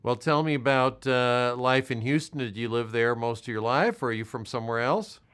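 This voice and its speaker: An older American man with a smooth, clear voice of medium pitch. He speaks as a volunteer on a supportive check-in call, gently and haltingly, offering reassurance. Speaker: health department volunteer caller.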